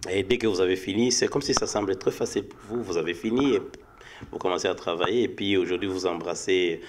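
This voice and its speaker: A man's voice: low-pitched, fast, a little hiss or hum. A man works as an interviewer. He puts his questions in a conversational style.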